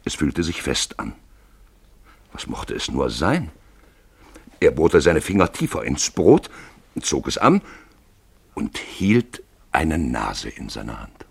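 A man giving a deliberate, theatrical literary narration for a radio play. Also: extremely low pitch, big pitch swings, vocal fry, slightly rough, no room sound.

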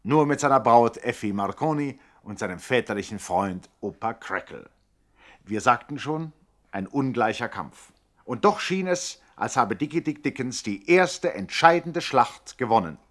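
A man's voice: mid-range voice, animated, measured pace. A man narrating a scripted radio play in a declamatory, mock-epic manner.

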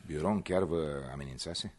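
An actor playing a deferential, discreetly curious confidant in a radio play, husky, respectful and gently probing. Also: male voice, a bass voice. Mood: deferential, attentive.

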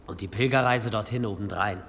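A man's voice: lowish voice, a little fast, fairly bright tone, in a large hall, a little hiss or hum. A man playing a polite, deferential, pious pilgrim in a radio drama, speaking formally with humble eagerness. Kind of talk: German radio drama acting.

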